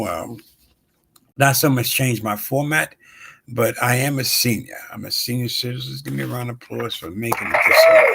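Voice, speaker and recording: A man in his sixties hosting his own show, talking casually with lots of pauses and fillers and greeting viewers by name. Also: mid-range voice, gravelly, bright, forceful tone, slight background noise, poor audio. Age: 60s. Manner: rambling, halting, many fillers. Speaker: show host. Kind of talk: casual live-stream talk.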